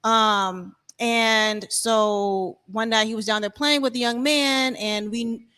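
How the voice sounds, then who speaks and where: Soft-spoken woman telling her story. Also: on the high side, fairly even intonation, takes it slowly, clear, resonant tone. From Arkansas, USA. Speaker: guest, community advocate. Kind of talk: casual interview talk.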